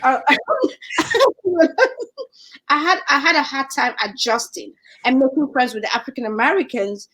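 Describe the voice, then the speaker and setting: A young woman from Nigeria with a Nigerian accent, chatting as a guest in an animated way and laughing often. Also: high voice, measured pace, long pauses, low-quality recording. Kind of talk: casual chat.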